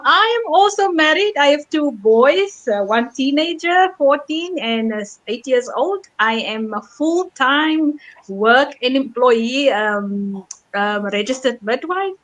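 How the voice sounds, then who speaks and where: A woman's voice: high-pitched, fairly bright tone. A woman from introducing herself on a video call, hesitant with ums. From South Africa. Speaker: guest business partner.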